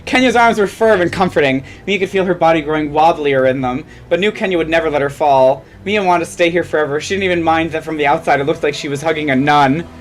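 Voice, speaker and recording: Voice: mid-range voice, fast talker, hardly any pauses. A theatrical reader telling a comedic scripted story, now and then stumbling over a line. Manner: theatrical, stumbles and repeats lines. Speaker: fan fiction reader.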